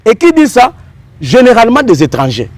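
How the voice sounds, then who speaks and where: A man's voice: very high-pitched, wildly swooping pitch, a little fast, no echo. A man with a Congolese accent arguing insistently as an interview guest, repeating phrases and posing rhetorical questions. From Democratic Republic of the Congo. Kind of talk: political and legal argument in a TV interview.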